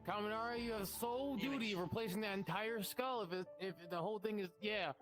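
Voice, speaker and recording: A man's voice: sits high, brisk. A man leading an improvised roleplay mission briefing as game master, informal and sweary, putting questions to the group. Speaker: game master playing a guild quest giver. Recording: loud background music. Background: music.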